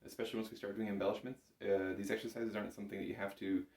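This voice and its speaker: A man with a deep voice gives an instructional lesson in a reverberant room. He is patient and informal, guiding learners one step at a time. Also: level tone, racing, darkish tone. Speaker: bagpipe instructor. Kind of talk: instructional music lesson to camera. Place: indoor room, echoey. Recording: echoey room.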